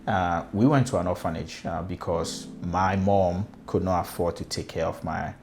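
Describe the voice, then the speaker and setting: The kind of low-voiced Ghanaian man you'd hear on a personal-story podcast, feeling for his words over a music bed. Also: darkish tone. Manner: hesitant, halting with repeated words. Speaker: speaker telling own life story, customer experience consultant.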